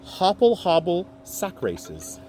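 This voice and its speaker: A man reading a children's picture book aloud in a playful, expressive way, with chatty asides to his young listeners. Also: high voice, slow pace, a little hiss or hum.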